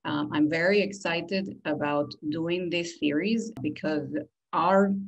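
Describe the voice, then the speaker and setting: A woman with a slightly low voice speaks English with a Venezuelan accent, as a native Spanish speaker. She presents in an informative, promotional style as a university recruiter. Her delivery is explanatory, with small conversational hedging phrases. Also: poor audio. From Caracas, Venezuela. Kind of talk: informative promotional talk to camera.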